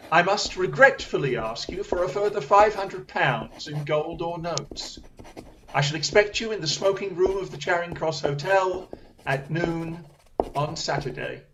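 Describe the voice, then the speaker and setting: An actor playing a shrewd, commanding Victorian English detective in a radio play, incisive, formal and coolly relentless. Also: male voice, on the high side, measured pace, a bit bright, a little hiss or hum, poor audio.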